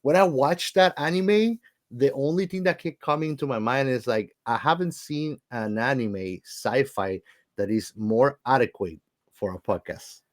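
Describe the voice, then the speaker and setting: A man's voice: medium pitch, measured pace, no room sound, studio quality. A man co-hosting a podcast in casual chat, enthusiastic and repeating words for emphasis. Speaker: podcast co-host.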